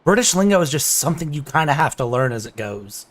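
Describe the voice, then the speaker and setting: An American man with a somewhat high-pitched voice co-hosts a live stream. He talks in casual, informal banter. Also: big pitch swings, quick pace. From United States. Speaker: broadcast co-host.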